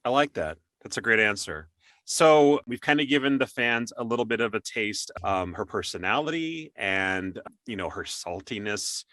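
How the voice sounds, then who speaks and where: A man's voice: lowish voice, expressive, moderate pace, fairly bright tone, dry recording. A middle-aged man hosting an interview in a casual, conversational style. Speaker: interview host.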